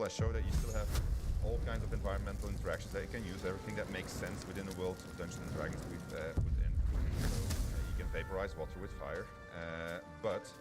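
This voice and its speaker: A man giving an informal live gameplay demo as a developer, hesitant and thinking aloud as he goes. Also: low-pitched. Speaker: game developer presenting a demo. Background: loud music.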